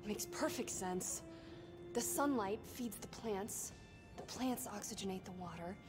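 Music runs behind an actress playing a young woman survivor from a video game. She is earnest and hopeful, with a soft voice at an ordinary pitch. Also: unhurried, lightly acted. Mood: wistful, proud. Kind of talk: video game cutscene acting.

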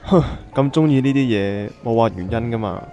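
A man's voice: mid-range voice, normal speed, warm tone, dull audio, soft background music, natural voice. A man playing a straightforward, easygoing young man in an amateur radio drama. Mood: matter-of-fact.

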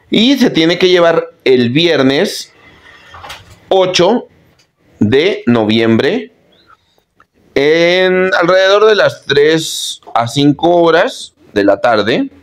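A middle-aged man with a Mexican accent presents his aquarium channel in an upbeat way, calling his viewers friends. His voice sits a little high for a man. He speaks to a webcam in a small indoor office. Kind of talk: enthusiastic video presentation.

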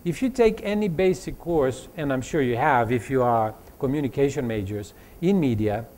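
A man, giving a motivational talk to students with animated storytelling and frequent fillers and restarts. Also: medium pitch, moderate pace. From Greece. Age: 40s. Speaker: conference speaker.

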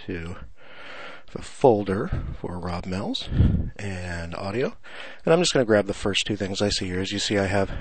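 Low-pitched man giving a software walkthrough. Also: no echo. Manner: casual, explanatory, step-by-step. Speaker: software tutorial presenter. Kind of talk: unscripted screencast walkthrough.

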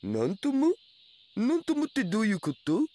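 A man's voice: sits high, huge pitch swings, normal speed, warm tone, exaggerated voice. An actor playing a laid-back, cheeky, nerdy young male otaku in an anime radio drama, with a goofy slangy drawl. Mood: goofy, puzzled.

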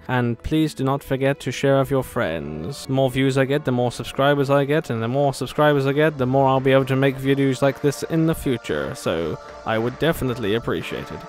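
A man's voice: medium pitch, darkish tone. A man with a British accent hosting a gaming video, chatting casually with cheeky, sarcastic asides. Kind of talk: casual gameplay commentary. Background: game music.

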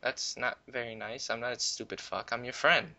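A man's voice: mid-range voice, steady tone, moderate pace, vocal fry, sharp tone, dry recording. A man making a casual prank phone call, goading in a mock-friendly, repetitive way, on a recorded call. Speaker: prank caller. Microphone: recorded phone or internet call.

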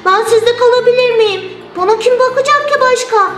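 Woman doing hurt little boy doll, whiny n blamey, really squeaky high, roomy. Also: moderate pace, fairly bright tone, faint music behind. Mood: whiny, worried. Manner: whining, plaintive.

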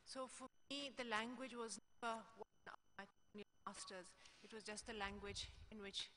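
A middle-aged woman from Pakistan with a Pakistani accent, answering deliberately as a writer on a panel. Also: fairly high pitch, steady tone. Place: on stage in a large auditorium. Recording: noisy, echoey hall.

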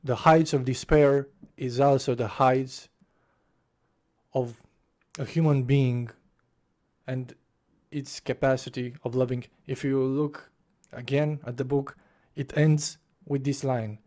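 Young man, unhurried, dark warm tone, home bedroom desk-mic book vlog. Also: medium pitch, steady tone. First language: Romanian. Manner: passionate, gestures with both hands, restarts phrases. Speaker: book reviewer. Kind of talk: casual spoken book review. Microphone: desk microphone in front of face, webcam framing.

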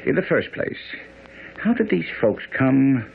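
A man's voice: mid-range voice, sing-song, unhurried, gravelly, exaggerated voice. A man acting as a self-assured master detective in a radio drama, precise, commanding and didactic. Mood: brisk, instructive. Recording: muffled, noisy radio broadcast.

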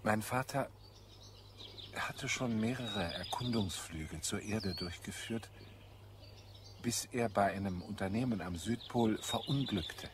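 A low-voiced, earnest scholar in a radio drama. He speaks in a measured, solemn way, like a visionary idealist. Birdsong is clearly audible behind him. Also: noisy background. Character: earnest idealistic male scholar, secretly half Martian. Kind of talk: German radio play acting. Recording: clear background birdsong and ambience. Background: birdsong in a garden scene.

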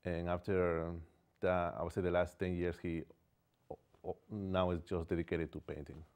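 A man in his forties with an Ecuadorian Spanish accent, a native Spanish speaker, chatting as a guest artist in a small studio on a lapel mic. He talks in a halting way, searching for words and filling gaps with ums. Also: low voice, level tone, darkish tone, clean tone. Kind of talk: casual interview chat.

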